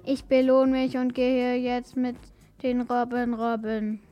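A female performer playing a stern, matter-of-fact household robot in a children's radio play, instructing in a deliberate way. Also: a little high, level tone, muffled recording, faint music behind. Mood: encouraging.

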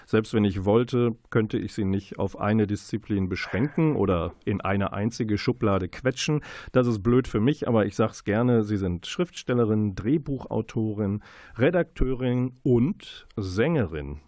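A man hosting a radio book show, presenting reviews and interviews in a deliberate, wordy, playful announcer manner. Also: fairly low pitch, expressive, a little mellow, no echo.

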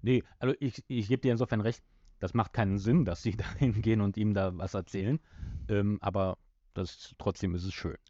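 Low, dry-sounding man chatting casually. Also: fairly even intonation, brisk, dark tone, no room sound, muffled recording. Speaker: podcast host. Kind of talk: casual discussion of an audio drama episode.

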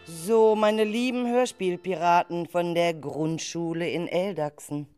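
Slow-paced, lower-voiced woman hosting children. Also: fairly even intonation, a little mellow, clean tone, faint music behind. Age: adult. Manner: addresses children as playmates. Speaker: host and leader of a school radio-play group. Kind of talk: scripted children's radio play narration.

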